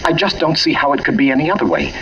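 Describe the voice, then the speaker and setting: An actor playing a troubled, earnest man with a halting manner in a 1940s radio mystery. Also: a little high, very animated, fairly bright tone. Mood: grave, troubled. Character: troubled, hesitant man confiding a family murder. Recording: muffled, noisy 1940s radio recording.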